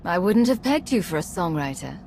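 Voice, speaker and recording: A woman's voice: on the low side, sing-song, a little fast, darkish tone, slight background noise. A woman acting a resolute, pragmatic commander in a video game, guarded, terse and controlled. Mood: guarded, dry.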